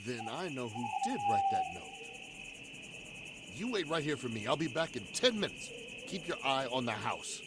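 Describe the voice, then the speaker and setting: Loud noise surrounds an actor playing a suspicious, accusing man in a 1940s radio thriller. His pitch swings widely as he presses pointed, skeptical questions. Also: huge pitch swings, moderate pace. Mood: wary, stern. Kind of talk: 1940s radio horror acting. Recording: loud background noise, insect-like ambience.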